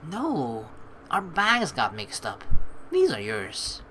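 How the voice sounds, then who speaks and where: Animated woman doing a let's play. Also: low voice, slow, a little hiss or hum. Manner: casual, playful, swears. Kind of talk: gaming commentary mixed with reading game narration aloud. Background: game music.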